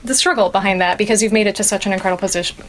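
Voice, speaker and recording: A young woman hosts a podcast interview at a middle pitch. She sounds enthusiastic and laughs often, with a faint hiss underneath. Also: steady tone, rapid-fire, a bit bright. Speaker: interviewer host.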